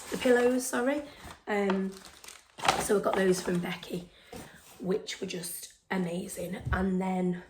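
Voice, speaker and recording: A woman with a hoarse, failing voice hosts a casual show-and-tell vlog, chatting excitedly with lots of ums and phrases that drop into a breathy whisper. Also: medium pitch, slight background noise, rough recording. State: hoarse, losing her voice. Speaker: stitching vlog host.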